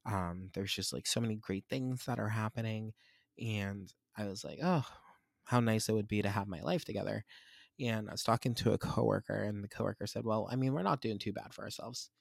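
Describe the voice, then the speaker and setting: Reflective young man with a gravelly voice. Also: fairly low pitch, brisk, dry recording, studio quality. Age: 20s. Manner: candid, rambling, self-searching. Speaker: podcast host. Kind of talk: reflective solo podcast monologue.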